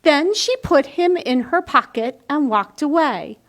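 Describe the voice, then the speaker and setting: High-voiced, slow-paced woman storyteller. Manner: simple explanatory asides, expressive reading. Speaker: library storytime reader. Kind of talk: reading a picture book to young children.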